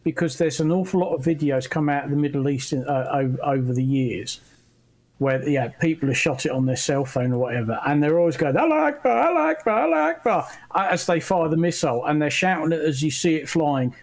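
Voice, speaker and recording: Brief: man with a fairly high voice, chatty guest on a live stream, mild cursing. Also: fairly quick. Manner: chatty, mildly swearing storyteller. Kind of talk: casual chat.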